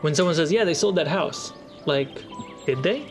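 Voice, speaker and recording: A man with a fairly high voice runs a tabletop game. He narrates scenes and gives rulings casually as game master, with faint music behind him. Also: animated, normal speed.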